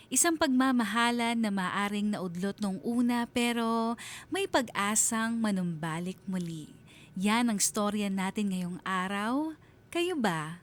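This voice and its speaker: A woman narrates a scripted radio drama at a medium pitch. She tells the story in a confiding, reflective way, as dramatic first-person storytelling.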